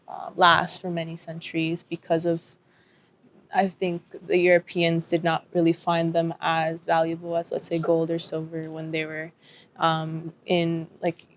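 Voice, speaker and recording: A woman artist on a panel, speaking thoughtfully with many pauses and fillers. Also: fairly low pitch, slight background noise. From Dominican and Peruvian family. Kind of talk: panel discussion.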